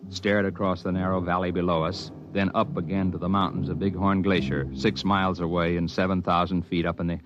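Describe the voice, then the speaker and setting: An American actor playing a level-headed outdoorsman in an old radio drama, telling his story in a measured, plainspoken way. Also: low voice, little pitch movement, highs cut off, close to an everyday voice. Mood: calm, reflective. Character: level-headed male outdoorsman narrating his mountain adventure. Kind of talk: old-time radio drama acting, first-person narration and dialogue. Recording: noisy vintage radio drama recording.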